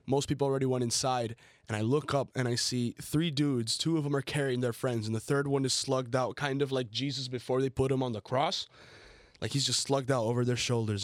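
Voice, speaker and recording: A man's voice: mid-range voice, no echo, clean studio sound. A young man from Colombia and the United States hosting a casual chat, telling anecdotes in informal, slangy language. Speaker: show host.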